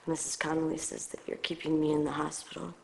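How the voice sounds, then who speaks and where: Miserable teen schoolgirl in a game, lots of vocal fry, ashamed. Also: deep voice, monotone, quick pace, rough recording. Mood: fearful, self-loathing. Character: troubled teenage schoolgirl, ashamed, self-loathing, stressed. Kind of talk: FMV game acting.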